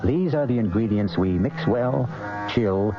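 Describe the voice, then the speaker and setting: A man's voice: fairly low pitch, lively intonation, measured pace. A man hosting a vintage radio mystery show with theatrical, wry and ominous scripted narration. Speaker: radio drama host. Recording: muffled vintage radio recording, music behind.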